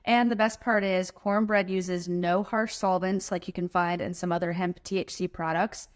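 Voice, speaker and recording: A woman's voice: on the low side, steady tone, normal speed. A woman with an American accent reading a sponsor message in a conversational podcast style. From Kentucky, US. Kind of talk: conversational ad read.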